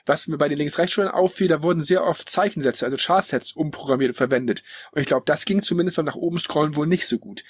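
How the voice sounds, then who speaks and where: A man with a fairly high, husky voice, hosting a casual podcast. He rambles along in a chatty, opinionated way, recorded close and dry. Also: fast talker, hardly any pauses, no echo. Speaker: podcast host. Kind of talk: casual retro gaming podcast talk.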